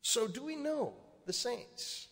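A man's voice: very high-pitched, lively intonation, slow, a bit bright. A male priest preaching a sermon, building to emphatic, rising points. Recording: reverberant church hall.

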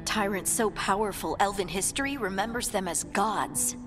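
A woman's voice: medium pitch, normal speed, lightly acted. Woman playing an urgent dwarf scout. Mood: earnest. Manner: earnest, pressing. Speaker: party companion. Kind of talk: video game voice acting. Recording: game dialogue over clear music.

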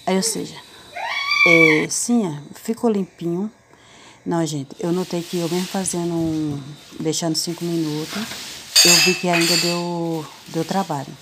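A middle-aged woman talks through a home cleaning video, teasing and full of slangy asides. Her voice sits slightly low. The phone recording carries heavy background noise from the kitchen. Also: fairly even intonation, measured pace. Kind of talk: casual chatty tutorial. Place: home kitchen, voice heard while cleaning a stove. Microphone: phone camera held close over the stovetop.

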